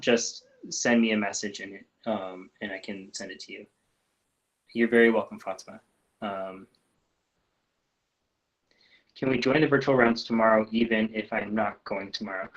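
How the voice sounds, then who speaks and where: A man hosting a casual live-stream Q&A as a doctor, talking loosely with lots of ums and restarts and letting his sentences trail off quietly. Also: fairly low pitch, rough recording. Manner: relaxed, frequent ums and restarts, trails off softly.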